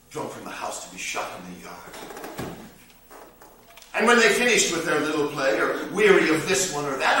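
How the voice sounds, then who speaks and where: A man acting a haunted, sardonic storyteller in a dramatic stage monologue, deliberate and theatrical, with long pauses. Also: a little high, pushed and bright. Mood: anguished, outraged. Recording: roomy echo, distant mic, faint noise.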